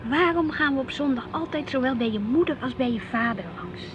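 A woman's voice: high voice, lively intonation, fairly quick. An actress playing an inquisitive, earnest wife and mother in a naturalistic radio play, with a Netherlands Dutch accent. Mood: questioning. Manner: questioning, earnest. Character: inquisitive, reflective wife and mother, easily piqued at her husband. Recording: noisy outdoor street ambience with traffic.

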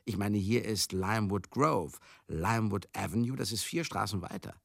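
An actor in a radio drama monologue, playing a garrulous, hard-drinking older showman who grieves as he recalls a lost friend, husky, halting and confiding. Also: fairly low pitch, no room sound, studio quality. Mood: agitated recounting. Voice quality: husky, gravelly. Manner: halting, rambling, confiding, asks listeners questions.

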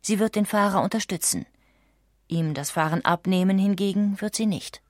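A woman with a standard German accent reading scripted documentary audiobook narration, factual and measured. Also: mid-range voice, dry recording, clean studio sound.

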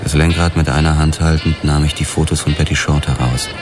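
An actor playing a brooding police detective who narrates his case in a measured, world-weary way in a radio drama. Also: extremely low pitch, steady tone. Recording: loud music under the voice.

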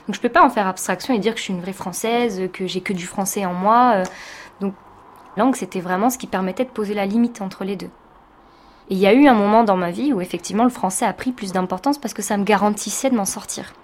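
A woman's voice: medium pitch, quick pace, a little hiss or hum. A woman from France, a teacher, giving a reflective personal testimony in an interview, speaking thoughtfully with many hesitations.

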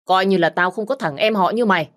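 A woman's voice: medium pitch, fairly quick, fairly bright tone, lightly acted. A woman voicing a young man who scolds his cousin with contempt. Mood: scolding.

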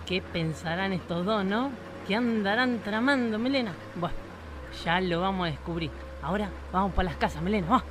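A woman's voice: mid-range voice, normal speed, noisy background, lightly acted. An actress playing a sly Argentine country woman who narrates and snoops, with a folksy, knowing way of talking. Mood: cooing. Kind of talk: live radio drama acting. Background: guitar music.

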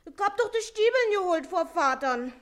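Very high-pitched whining young daughter. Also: female voice, lively intonation, normal speed, roomy sound. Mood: complaining. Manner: whining, complaining. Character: whining, cheeky young working-class daughter. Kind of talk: German radio play acting.

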